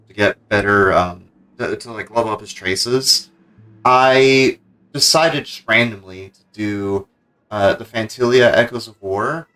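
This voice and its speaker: A man's voice: lowish voice, bright, forceful tone, poor audio. A man streaming a game, giving casual live commentary as he plays. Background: game music.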